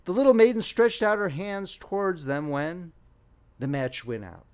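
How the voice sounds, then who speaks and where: A man narrating a fairy tale as an audiobook reader, in an expressive, theatrical storytelling manner. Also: high-pitched, moderate pace, clean tone.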